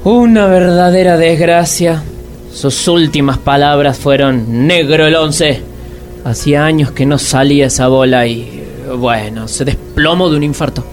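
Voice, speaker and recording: An actor with an Argentine accent playing a grave, secretive bar waiter with a formal, deadpan manner in a comedic radio drama. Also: male voice, a little high, a little slow, faint music behind, natural voice. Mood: deadpan, apologetic. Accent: Argentine, Rioplatense.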